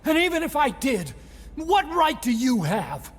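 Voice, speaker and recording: Very high, desperate whiny scientist. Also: male voice, very animated, unhurried, full character voice. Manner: pleading, agitated, defensive. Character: desperate, whiny, self-justifying male scientist. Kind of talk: dramatic video game acting. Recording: long hall reverb.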